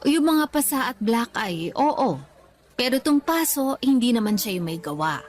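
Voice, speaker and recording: A woman playing a forgiving, self-effacing older mother in a radio drama, meek and gently defensive. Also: on the high side, big pitch swings, unhurried. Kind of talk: Tagalog radio drama acting.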